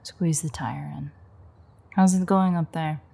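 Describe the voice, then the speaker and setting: A woman playing a playful, teasing vampire with dark predatory confidence in an intimate, sardonic audio roleplay, her voice husky and breathy. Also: low-pitched, fairly even intonation, unhurried, noisy background. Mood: playful, coaxing. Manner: teasing, sardonic, swearing banter.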